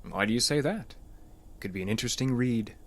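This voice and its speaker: An actor in an audio drama playing a composed, probing male psychotherapist with a measured, dry, clinical manner. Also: mid-range voice, close to an everyday voice. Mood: calm, probing.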